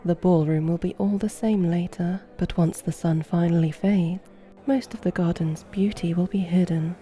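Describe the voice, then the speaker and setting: Lady doing a sultry vampire woman, asmr roleplay, flirty n languid, dark low voice, teasing. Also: fairly even intonation, moderate pace, lightly acted. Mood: sardonic, coaxing. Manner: flirtatious, languid, formal phrasing. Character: seductive, playful, mischievous female vampire.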